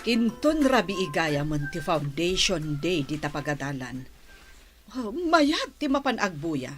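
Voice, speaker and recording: A woman's voice: on the low side, very animated, measured pace, faint music behind. A woman playing an affectionate, fussing middle-aged mother in an audio drama, chatty and coaxing. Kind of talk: Ilocano audio drama acting.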